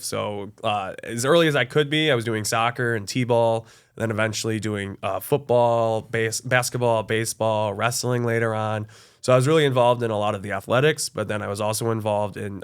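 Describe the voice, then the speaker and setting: A young man from Michigan, a college access adviser, chatting as a guest in an interview, relaxed and friendly, with many fillers and restarts. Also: lowish voice, clean tone. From Michigan, USA. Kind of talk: casual interview conversation.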